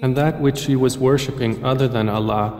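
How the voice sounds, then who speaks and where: A man reading a scripture translation aloud in a measured, formal narration. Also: medium pitch, steady tone, darkish tone, soft background music. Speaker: translation reader. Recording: echoey room with long reverb.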